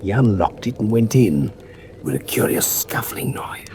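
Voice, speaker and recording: A man playing an earnest detective's companion in a radio drama, hushed and matter-of-fact. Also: fairly low pitch, big pitch swings, unhurried. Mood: tense, hushed. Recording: noisy radio drama recording.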